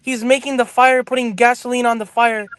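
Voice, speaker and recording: A man's voice: very high-pitched, level tone, a little fast, fairly bright tone, smooth, dry recording. A man talking as a guest on a casual talk show, arguing his points earnestly and at length.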